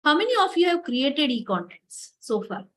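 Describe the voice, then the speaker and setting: Brief: high-pitched woman, earnest online workshop lecturer on a video call. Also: sing-song, normal speed, fairly bright tone, slight background noise. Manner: earnest, repeats words, addresses participants directly.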